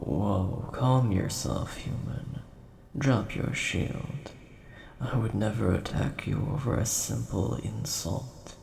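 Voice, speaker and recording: A very creaky, low-pitched dragon, acted out by a man, large but mild and bookish, in an audio roleplay. He talks slowly and politely, quick to take offence, in a roomy, echoing space. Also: a little mellow. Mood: offended. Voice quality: gravelly, creaky. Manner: polite, measured, mildly indignant. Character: large, mild-mannered, nerdy male dragon, easily offended coin collector. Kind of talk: scripted audio roleplay addressed to the listener. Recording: roomy echo, faint background hiss.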